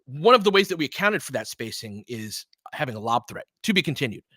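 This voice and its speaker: Animated, bright-voiced man commenting on basketball. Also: medium pitch, fast talker, no room sound. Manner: informal, conversational, frequently seeking agreement. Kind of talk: casual fan commentary and analysis.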